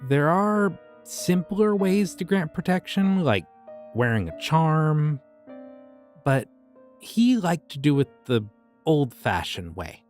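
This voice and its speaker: A man voicing a friendly but creepy young occult shopkeeper in an audio roleplay, talking in a casual, easygoing way about dark rituals. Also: fairly high pitch, very animated, slow, faint music behind. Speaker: voice actor.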